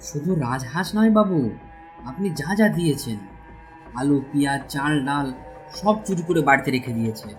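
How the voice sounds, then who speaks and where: Man as an earnest, lively village elder brother. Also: a little high, moderate pace, soft background music, natural voice. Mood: accusing. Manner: earnest, insistent. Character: honest, simple-hearted village man, firm and principled. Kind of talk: cartoon voice acting.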